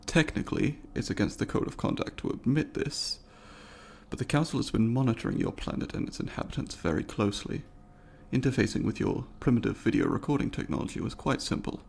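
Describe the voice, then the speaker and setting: Man voicing a gravelly, courteous alien researcher. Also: mid-range voice, lively intonation, a little mellow, a little hiss or hum. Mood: earnest, puzzled. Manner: formal, measured, academic wording with deliberate pauses. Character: curious, courteous male alien researcher interviewing a human. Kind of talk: ASMR roleplay, scripted monologue to the listener.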